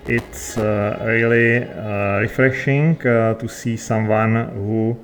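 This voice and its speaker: A man's voice: lowish voice, fairly even intonation, takes it slowly, faint music behind. A man with a non-native English accent chatting unscripted in character in a video game, hesitant and searching for words. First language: not English. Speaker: game player.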